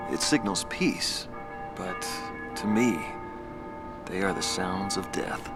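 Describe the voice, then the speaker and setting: An actor playing a weary former fighter pilot who quietly recalls a battle. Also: male voice, low voice, slow, over loud music. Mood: somber. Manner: quiet, somber, reflective. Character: weary older male ex-pilot telling his war memories. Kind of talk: interview-style recollection in a game cutscene.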